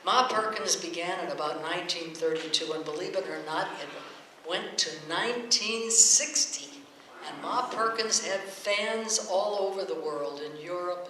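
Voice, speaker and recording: A man's voice: sits high, moderate pace, sharp tone. A man hosting a stage show, introducing a radio play with chatty, informal, somewhat hesitant anecdotes. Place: stage before a live audience. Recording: very echoey hall, faint noise.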